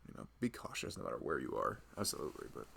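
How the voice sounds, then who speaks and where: Deep, gravelly young husband, played by a man. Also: big pitch swings, sharp tone, a little hiss or hum, exaggerated voice. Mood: reflective, vulnerable. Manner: rambling, halting, earnest, informal. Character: loving, chatty, earnest husband of a pregnant wife. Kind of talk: improvised ASMR roleplay, casual intimate chat.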